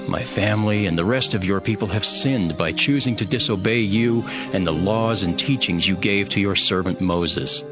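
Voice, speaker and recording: A male actor plays a devout Hebrew cupbearer in an audio Bible drama. His voice is fairly low, and he tells his own story in a solemn, earnest, measured way. Music plays clearly underneath. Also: lightly acted. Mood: pleading, reverent. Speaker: narrator and lead character of an audio Bible. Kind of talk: dramatized first-person scripture narration. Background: background music.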